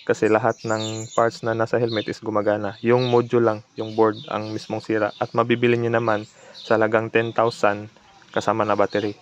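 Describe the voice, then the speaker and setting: A man's voice: on the low side, completely flat delivery, a little fast, lo-fi sound, slight background noise. A man narrating a casual repair vlog in a plainspoken way, mixing in English terms. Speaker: electronics repair technician and video host.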